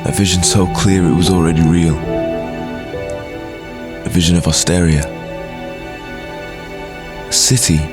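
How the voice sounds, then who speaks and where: A man acting an earnest, thoughtful lecturer and loyal friend in a science fiction audio drama, with a hesitant manner. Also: sits low. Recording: music under the voice. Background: music.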